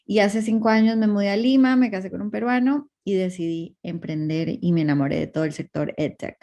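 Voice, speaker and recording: A woman's voice: medium pitch, fairly even intonation, brisk, dark tone. A woman from Costa Rica, introducing herself as a webinar panelist over a video call in an upbeat, friendly way.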